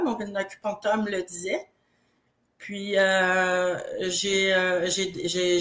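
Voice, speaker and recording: A woman with a Québécois accent and a head cold, chatting casually as a guest and telling her own health story in a rambling, halting way. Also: mid-range voice, a bit bright. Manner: rambling, halting, full of restarts. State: has a cold, congested. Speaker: guest sharing product testimonial. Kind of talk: casual live chat.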